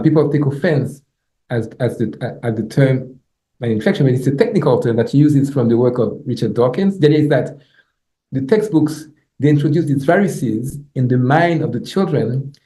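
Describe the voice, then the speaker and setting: A middle-aged man with a Haitian accent, a native Haitian Creole speaker, gives an academic lecture in a halting way, repeating words and checking in with "right?". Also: mid-range voice, warm tone. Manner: halting, repeats words, tags points with "you know" and "right?". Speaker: professor, guest lecturer. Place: indoor lecture room. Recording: echoey room sound.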